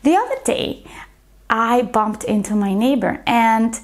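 Woman in her forties, teacher talking to camera, slightly high voice, lapel mic in a living room. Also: animated, a little slow, darkish tone. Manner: earnest, explains step by step, restarts when telling anecdotes. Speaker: teacher and channel host. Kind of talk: educational talk to camera. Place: bright living room, indoors, small.

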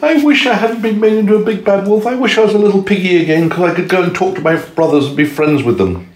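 A man voicing a lonely little male pig who was turned into a wolf, in a high, pleading voice. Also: lively intonation, quick pace, slight background noise. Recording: echoey room, faint clinking dishes.

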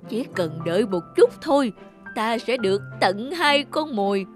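A woman voicing a sly, greedy big bad wolf in a children's fairy-tale cartoon, scheming and coaxing with drawn-out words. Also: fairly high pitch, very animated. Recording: music under the voice.

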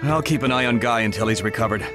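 A man's voice: medium pitch, quick pace, over music, close to an everyday voice. A man voicing a concerned, practical ninja companion, matter-of-fact, in an anime game dub.

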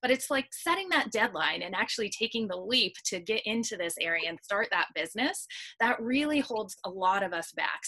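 Bright, enthusiastic young woman host. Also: mid-range voice, rough recording. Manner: enthusiastic, encouraging. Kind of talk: upbeat interview hosting. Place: indoors, video call. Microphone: webcam or laptop mic on video call.

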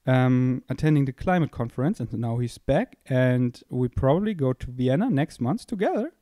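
A man's voice: medium pitch, lively intonation, normal speed, warm tone, no room sound, studio quality. Playful young man on a handheld mic. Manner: playful, self-mocking, thinks aloud. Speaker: podcast host. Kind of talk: casual rambling monologue. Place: indoor room, seated on a couch. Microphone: handheld microphone held close.

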